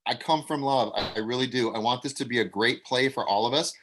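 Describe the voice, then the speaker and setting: A man's voice: mid-range voice, fast, background noise. Acting teacher, male, blunt and direct, giving notes on a video call. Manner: blunt, coach-like. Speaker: acting teacher leading a table read.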